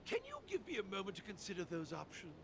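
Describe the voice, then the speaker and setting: A man's voice: high-pitched, wildly swooping pitch, fast talker, vocal fry, slight background noise, full character voice. A man playing a melodramatic, dutiful old male artisan in a fantasy game, florid and prone to stammering. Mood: flustered. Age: older. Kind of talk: theatrical video game voice acting. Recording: loud game music behind.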